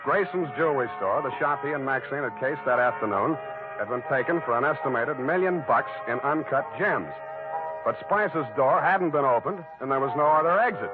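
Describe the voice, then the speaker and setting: Man acting hard-boiled male private eye, deadpan wisecracker, medium pitch, 1940s radio drama, tinny old recording with loud music. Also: a little fast, lightly acted. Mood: tense, deliberate. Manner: wry, deadpan.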